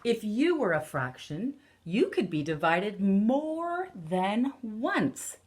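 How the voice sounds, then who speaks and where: A woman in her thirties, a teacher reading a picture book aloud to children and explaining it deliberately, with emphasis on key words, recorded in a room at home. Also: medium pitch, sing-song, darkish tone. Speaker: elementary teacher.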